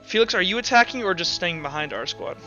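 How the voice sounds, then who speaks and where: Man, very bright voice, tabletop game narration, casual, profane, muffled online audio, music behind. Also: high-pitched, expressive, quick pace. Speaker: game master.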